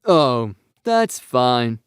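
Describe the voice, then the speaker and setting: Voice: mid-range voice, very animated, very slow, clear, resonant tone, no echo, clean studio sound. A narrator voicing a smug, falsely polite teenage boy who lays on the charm in an audio story. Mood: smooth, polite.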